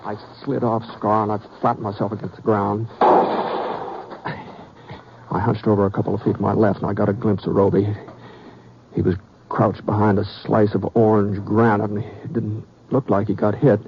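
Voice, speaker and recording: A man's voice: fairly low pitch, little pitch movement, moderate pace, vocal fry. An American actor playing a terse, plain-spoken frontier lawman who tells his own story in a 1950s western radio drama. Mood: measured narrating. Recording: muffled old radio recording with background hiss.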